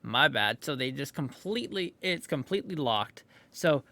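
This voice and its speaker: A man's voice: mid-range voice, lively intonation, normal speed, gravelly, bright, forceful tone, dry recording. A man hosting a video in a casual, rambling, informal reaction commentary style. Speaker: video host commenting on game voice lines.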